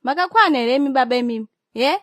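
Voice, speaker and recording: A woman's voice: high-pitched, expressive, rapid-fire, a bit bright, dry recording, clean studio sound. A woman voicing an indignant older churchgoing granny who scolds, with a Zimbabwean accent, in a comedy cartoon.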